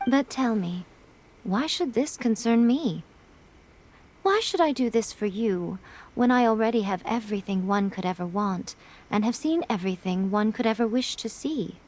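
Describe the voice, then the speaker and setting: A woman's voice: fairly high pitch, animated, moderate pace, darkish tone. A woman voicing a sly, self-assured shrine priestess in a video game, teasing and poised with elegant haughtiness. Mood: cool, amused. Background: game music.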